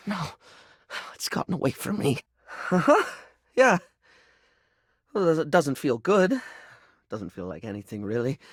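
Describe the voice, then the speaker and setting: A man voicing a flamboyant but insecure young male makeup character in a dating video game, theatrical, stammering and self-deprecating, with a breathy, creaky voice. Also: mid-range voice, no echo, studio quality.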